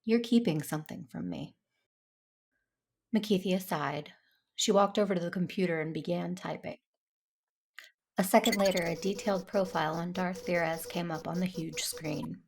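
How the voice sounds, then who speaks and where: A woman's voice: fairly low pitch, normal speed, vocal fry, pauses often. A woman playing a reserved, dutiful Jedi who narrates a sci-fi audio drama in the first person. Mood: serious, troubled.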